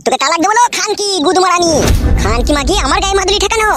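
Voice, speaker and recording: High, rapid-fire hot-headed cartoon husband played by a man. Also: lively intonation, over loud music. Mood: furious shrieking. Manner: foul-mouthed scolding and wailing. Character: crude, hot-tempered village husband, whiny when wronged. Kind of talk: comic cartoon voice acting. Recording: noisy track with clicks.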